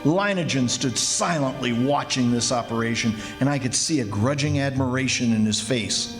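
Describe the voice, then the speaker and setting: A man's voice: medium pitch, over music, lightly acted, low-quality recording. A man playing an earnest, anxious commissioner in a 1940s radio drama, telling the tale dramatically. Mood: grave, suspenseful. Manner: dramatic, urgent, measured when narrating. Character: anxious, earnest male commissioner recounting the siege. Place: live stage performance at a college.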